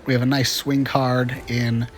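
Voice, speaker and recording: A man's voice: mid-range voice, level tone, unhurried, noisy background. A chatty, upbeat man hosting casual gameplay commentary with frequent fillers, a little congested from a cold. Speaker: gaming channel host.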